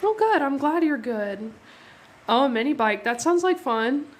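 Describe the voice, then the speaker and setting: A young woman hosts a casual drawing stream from home, sounding slightly sniffly after a cold. Her voice sits a little higher than average, and she chats easily with viewers, with quick thanks and frequent laughs. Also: lively intonation. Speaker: art livestream host. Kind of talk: casual live drawing chat.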